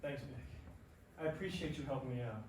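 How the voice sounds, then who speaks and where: An actor playing an eager young ballplayer with an earnest, placating manner in a staged play reading. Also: male voice, mid-range voice, moderate pace, darkish tone, a little hiss or hum, lightly acted. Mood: nervous, grateful. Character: eager, nervous young male ballplayer, sweet-talking boyfriend. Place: performance room, recorded from a distance. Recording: very echoey room, distant mic.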